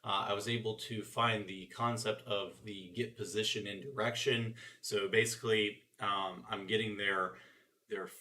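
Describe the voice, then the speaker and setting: A man's voice: fairly low pitch, normal speed, recorded from a distance. A man with a congested, scratchy throat, casually talking through his game modding work on a stream with many fillers. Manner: relaxed, rambling, many uh fillers. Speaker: game modder. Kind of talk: casual screen-share dev stream.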